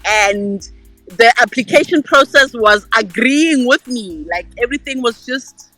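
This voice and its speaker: Bright South African woman guest. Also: fairly high pitch, expressive, measured pace, dry recording, soft background music, low-quality recording. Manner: bright, candid, repeats words. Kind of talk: casual interview storytelling.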